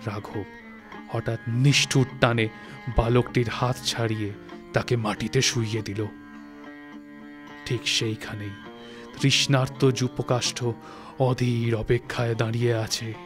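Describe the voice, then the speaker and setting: A man narrating a literary story in a dramatic, suspenseful way, with expressive phrasing and deliberate pauses. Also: medium pitch. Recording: studio recording with music. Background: music and night insect sounds.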